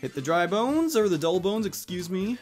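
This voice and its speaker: A man's voice: high-pitched, very animated, moderate pace, a little mellow. A man hosting a casual video game playthrough in a rambling, chatty way. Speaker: Let's Play host. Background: video game music.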